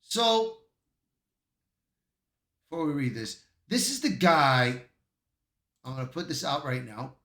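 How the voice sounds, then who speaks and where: A man's voice: on the high side, sing-song, slow, long pauses, pushed and bright. A man giving casual online commentary and reading aloud, often stumbling over his words. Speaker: YouTube commentator. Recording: roomy, echoey voice.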